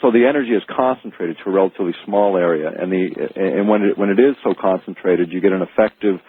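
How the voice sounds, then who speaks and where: An American man giving an informal expert explanation as a radio interview guest, halting and repeating words as he goes. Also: deep voice, breathy edge. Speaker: interview guest, researcher and author. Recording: narrow, dry phone-like radio sound.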